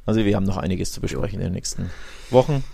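A man's voice: low-pitched, rapid-fire, creaky, dark tone. A man casually discussing football as a podcast co-host.

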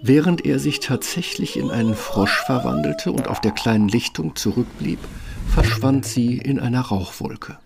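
A man's voice: mid-range voice, animated, normal speed, vocal fry. A man reading a scripted fairy-tale narration for an audio play.

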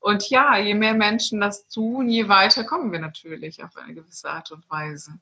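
Bright-voiced German woman, earnest. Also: medium pitch, normal speed. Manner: earnest, many fillers and restarts. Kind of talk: spiritual interview talk.

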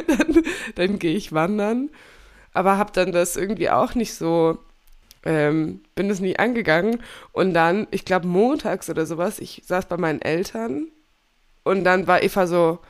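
A woman's voice: lowish voice, animated, a little slow. Young woman host with a cold. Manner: chatty, laughs often, self-correcting. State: has a cold. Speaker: podcast host. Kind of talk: casual chat.

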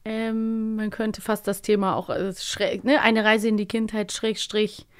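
A woman's voice: medium pitch, flat delivery, unhurried, smooth. A woman co-hosting a podcast in casual chat, talkative with many fillers and short agreements. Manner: chatty, frequent fillers and backchannels. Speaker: podcast co-host.

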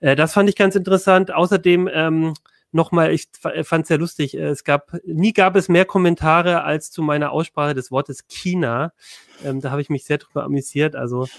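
A southern German man hosting a casual tech podcast, his voice sitting a little high and recorded close and dry in a studio. He talks loosely, with many fillers, restarts and pauses. He moderates the round without hurry. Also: steady tone, creaky, dry recording. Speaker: podcast host.

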